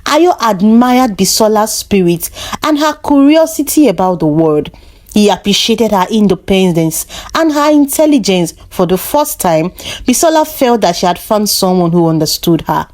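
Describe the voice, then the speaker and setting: A woman tells a scripted folktale in a medium-pitched voice. Her storybook delivery is measured and expressive, with intonation that rises and falls widely. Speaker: storyteller, narrator.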